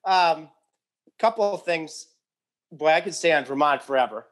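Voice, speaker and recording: A man's voice: on the high side, expressive, normal speed, a bit bright. A man in his forties hosting a casual interview, joking and asking rambling, friendly questions. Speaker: podcast host.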